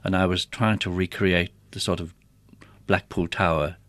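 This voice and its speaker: A middle-aged American man talking casually as an interview guest, pausing and restarting as he thinks aloud. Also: deep voice, little pitch movement, a bit bright, no room sound. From United States. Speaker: interview guest, touring theatre organist.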